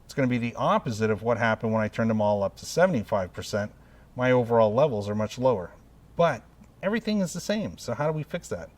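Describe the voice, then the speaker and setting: A man hosting a hands-on equipment tutorial, calmly explaining each step as he adjusts the controls. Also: mid-range voice, quick pace. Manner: relaxed, explanatory, talks through each step while adjusting knobs. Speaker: product tutorial host. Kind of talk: casual hands-on equipment demo.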